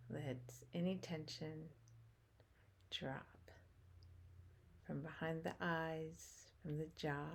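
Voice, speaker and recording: A woman guiding a meditation as a teacher, speaking in short phrases with long pauses and hushed endings. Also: sits low, vocal fry. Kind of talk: guided meditation, slow spoken instruction.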